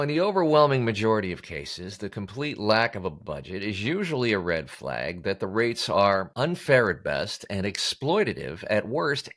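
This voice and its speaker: Male coach, lowish voice with very lively intonation, emphatic scripted instructional delivery in plain, punchy language. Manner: emphatic, blunt, colloquial. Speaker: voice-over coach hosting an advice show. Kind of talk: scripted instructional talk to camera.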